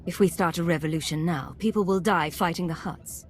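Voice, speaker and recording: A woman playing a composed, resolute Imperial agent commander in a video game, speaking in a measured, firm manner. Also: fairly low pitch, a little hiss or hum, natural voice.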